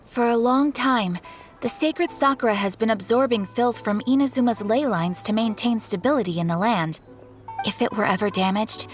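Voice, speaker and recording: A woman's voice: on the high side, close to an everyday voice. Woman playing a formal, courteous guild receptionist. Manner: formal, courteous, measured briefing. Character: polite, composed female guild receptionist.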